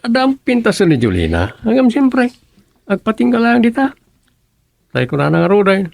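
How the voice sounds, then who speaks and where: A principled husband in an Ilocano radio comedy drama. His voice is on the high side for a man and quite creaky, recorded dry. He explains himself earnestly, a little defensively, with a Filipino Ilocano accent. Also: big pitch swings, moderate pace, a little mellow, no echo, exaggerated voice.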